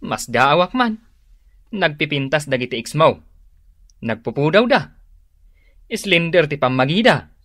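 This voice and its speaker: A man's voice: fairly high pitch, sing-song, measured pace, long pauses, a bit bright, dry recording, clean studio sound, heavily acted. A man playing a tactless, nosy young friend in a comedy radio drama, speaking in a hesitant, chummy way. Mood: awkward, nosy.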